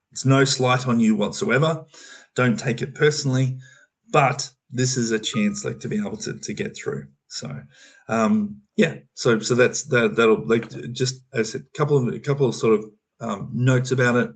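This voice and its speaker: An Australian man talking loosely on a video call, explaining an opportunity with many fillers and restarts. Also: medium pitch. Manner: rambling, full of fillers and restarts. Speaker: program manager on a community call. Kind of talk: casual explanatory talk.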